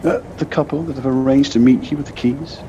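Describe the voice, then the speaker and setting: A man in an audio play voicing a calm, supportive husband with a measured, slightly hesitant, reassuring way of talking, recorded on a personal device. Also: mid-range voice, lo-fi sound, slight background noise, natural voice.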